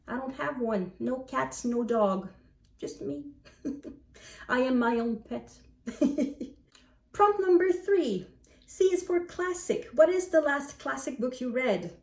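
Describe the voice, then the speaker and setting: A woman book vlogger chatting casually to camera in an animated, self-deprecating way. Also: high-pitched. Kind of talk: casual chat to camera, answering book prompts. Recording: echoey room, distant microphone.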